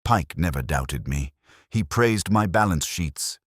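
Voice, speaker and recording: A man voicing a formidable, proud older woman, brisk and indignant, in a mystery story. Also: low-pitched, lively intonation, husky, dry recording, clean studio sound, full character voice. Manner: brisk, emphatic, wounded pride.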